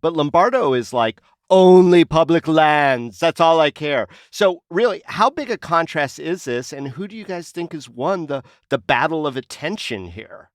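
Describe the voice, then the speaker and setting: A man co-hosting a conversational news podcast, talking in an animated, probing way. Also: on the high side, moderate pace, hardly any pauses, no room sound, clean studio sound. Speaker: podcast co-host.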